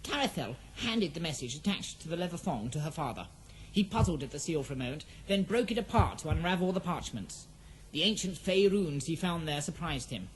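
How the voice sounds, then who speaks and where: High-voiced man narrating dramatic fantasy. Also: very animated, slight background noise, rough recording. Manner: theatrical, measured storytelling.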